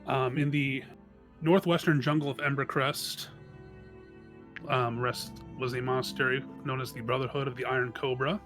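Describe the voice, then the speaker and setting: A man introducing his tabletop game character, halting and searching for his words. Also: mid-range voice, steady tone, a bit bright, soft background music.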